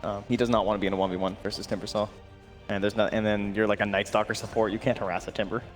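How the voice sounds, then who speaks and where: A man casting an esports draft, analytical and quick to laugh. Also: on the low side, little pitch movement, faint music behind. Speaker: esports caster. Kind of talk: casual draft commentary.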